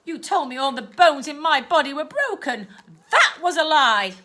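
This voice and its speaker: Defiant young girl in a storybook, done by a woman reader: high, pleading, protesting. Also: very animated, moderate pace, sharp tone, exaggerated voice.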